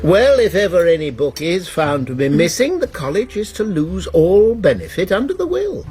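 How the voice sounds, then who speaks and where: A genial head of a college in a radio play. His voice is fairly high and animated, with an urbane, polite authority. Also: moderate pace, slightly rough, faint music behind, exaggerated voice. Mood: urbane, reassuring. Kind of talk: British radio drama acting.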